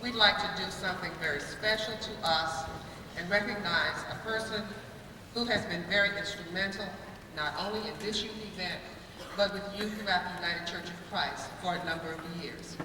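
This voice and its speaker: A speaker addresses a large indoor gathering with a formal tribute, stopping and restarting phrases. The voice booms around a huge hall and sits far from the mic. Steady hiss runs under it. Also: moderate pace. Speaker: worship leader presenting an award. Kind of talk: public address from a stage. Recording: echoey arena heard from far off.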